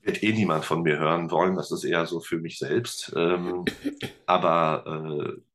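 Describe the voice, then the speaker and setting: A man's voice: sits low, moderate pace, crowd noise. A man guest on an interview podcast, chatting casually and explaining things with lots of fillers. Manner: relaxed, explains with many fillers. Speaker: podcast guest, teacher and guitarist.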